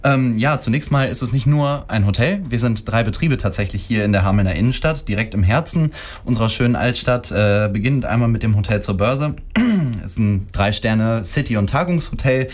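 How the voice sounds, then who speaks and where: A man, a hotel food and beverage manager speaking as a guest in a radio interview, with many fillers and pauses. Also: medium pitch. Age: 30s.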